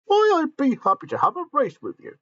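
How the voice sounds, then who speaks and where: Man doing a clumsy bearded grump, high squeaky voice, stammering, dull recording. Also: huge pitch swings, a little fast, a bit bright, full character voice. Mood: cheerful. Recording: dull lo-fi recording, faint music.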